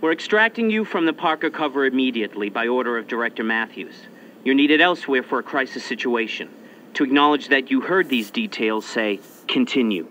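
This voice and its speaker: An actor playing a brisk male spy agency handler who gives crisp, urgent instructions in scripted game dialogue. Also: mid-range voice, animated, bright, forceful tone, a little hiss or hum, rough recording. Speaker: mission handler.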